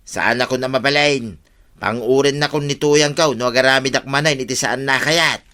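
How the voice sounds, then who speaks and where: Man playing a bright-voiced, grumbling old Ilocano villager. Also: mid-range voice, moderate pace, close to an everyday voice. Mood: weary grumbling. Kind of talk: comic radio-drama acting.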